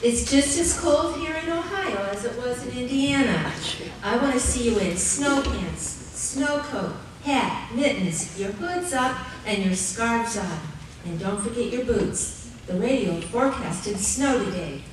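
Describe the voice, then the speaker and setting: Doting brisk housewife mother, echoey female actor. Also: on the high side, lively intonation. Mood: brisk, motherly. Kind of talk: live old-time radio play acting. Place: theater stage before live audience. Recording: echoey noisy live stage, distant mic.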